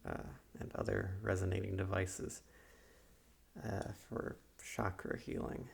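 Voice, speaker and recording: A man playing a soft-spoken, quietly sinister psychiatrist in an ASMR roleplay, with measured, hesitant clinical speech and a husky, gravelly voice. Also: sits low, flat delivery, heavily acted. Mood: soothing, measured.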